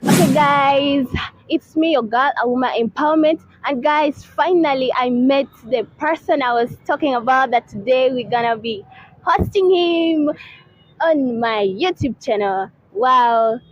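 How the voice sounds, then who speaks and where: A woman's voice: sits high, measured pace, no echo. A young woman from Uganda hosting her own channel, interviewing a guest with enthusiastic, frequent "wow"s. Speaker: YouTube host, interviewer. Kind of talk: casual vlog interview. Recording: dull lo-fi sound, faint music.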